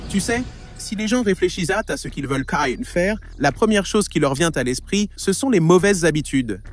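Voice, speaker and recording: A man's voice: sits high, animated, moderate pace, faint music behind. A man voicing a frank, matter-of-fact friend in a cartoon dialogue. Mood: frank, critical. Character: frank male friend scornful of bad habits. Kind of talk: animated film dialogue.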